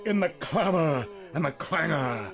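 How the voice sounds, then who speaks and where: A man's voice: a little high, animated, a little slow, creaky. A man giving an intense, theatrical poetry recitation, drawing out repeated words. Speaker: poetry reader. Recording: music playing behind the voice. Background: music.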